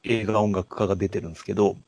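Husky low-voiced man chatting about films. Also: fairly even intonation, quick pace, darkish tone, no echo. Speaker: podcast co-host. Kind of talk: casual film discussion.

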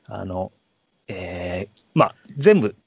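Low-voiced guy chatting on a podcast, polite and apologetic, kinda halting, super dry sound. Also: sing-song, creaky, a little mellow, breathy edge, dry recording. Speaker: podcast co-host. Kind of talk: casual podcast chat.

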